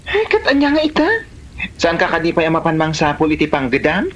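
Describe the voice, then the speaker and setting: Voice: medium pitch, big pitch swings, a little fast, fairly bright tone, highs cut off. An actor playing a nosy, teasing, bossy village neighbour in a comedy drama, with an Ilocano accent. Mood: scolding. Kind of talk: Filipino comedy drama dialogue.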